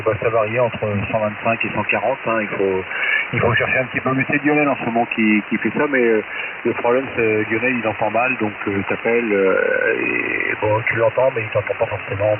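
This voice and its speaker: Halting guy on ham radio, casual chat, sounds far off under loud static hiss. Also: lowish voice, gravelly. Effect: shortwave radio transmission. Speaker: amateur radio operator. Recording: narrow-band shortwave radio, loud hiss, distant.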